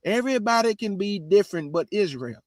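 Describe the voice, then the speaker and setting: A man's voice: high-pitched, animated, fairly quick, no echo, studio quality. A man hosting his show, giving casual religious commentary in a preachy way and calling his listeners family. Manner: preachy, addresses audience as family. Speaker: host.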